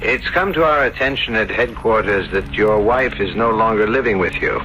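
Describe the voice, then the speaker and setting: An actor playing a formal, deferential yet insinuating police inspector in a 1970s radio drama. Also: male voice, fairly low pitch, moderate pace, bright, forceful tone. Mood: probing. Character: polite, probing police inspector making routine inquiries. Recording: dull vintage radio broadcast, music behind.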